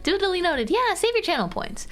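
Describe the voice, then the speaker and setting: A woman's voice: very high-pitched, very animated, moderate pace, fairly bright tone. A woman VTuber streamer chatting casually and easygoingly with her viewers while she draws. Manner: chatty, easygoing, talks to chat. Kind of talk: casual livestream chat while drawing. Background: faint background music.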